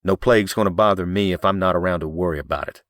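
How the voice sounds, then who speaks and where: A man's voice: low voice, fast, dry recording, studio quality, natural voice. A man playing a blunt, defiant working-class spaceship crewman in an audiobook. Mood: blunt defiance. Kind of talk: audiobook character acting.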